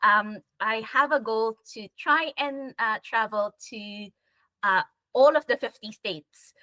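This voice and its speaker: A woman's voice: on the high side, slow pace, sharp tone, no room sound, low-quality recording. A young adult woman chatting casually as a panel guest, laughing often and saying "like" a lot. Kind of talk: casual chat.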